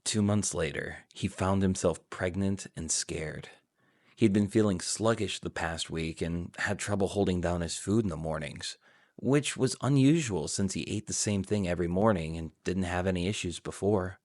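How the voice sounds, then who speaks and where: A man's voice: sits low, moderate pace, darkish tone, dry recording. A man reading scripted fan fiction as the narrator, telling the story in prose.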